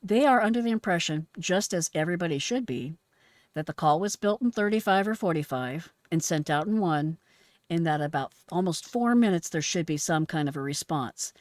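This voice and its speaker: A woman with a fairly low voice hosts an analysis of emergency calls. She speaks frankly and instructively, with emphasis, in a dry, close recording. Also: dry recording. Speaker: host, retired emergency dispatcher. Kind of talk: reaction commentary analysing an emergency call.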